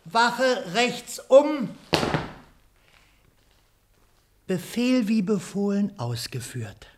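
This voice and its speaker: Silly pompous army general in a fairy tale radio play, clipped barky delivery. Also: male voice, high-pitched, big pitch swings, takes it slowly, background noise, exaggerated voice. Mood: barking orders. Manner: clipped military barking. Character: pompous, dutiful, blundering male general of the guard.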